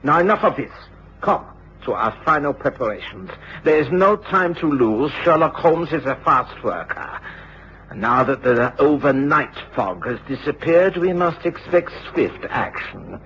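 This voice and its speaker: A man's voice: mid-range voice, sing-song, a little slow, a bit bright. An actor playing an imperious, scheming villain in a radio drama, curt and formal as he gives commands. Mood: impatient, confident. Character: imperious, scheming villain spymaster ordering his henchmen. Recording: muffled, noisy old radio drama recording.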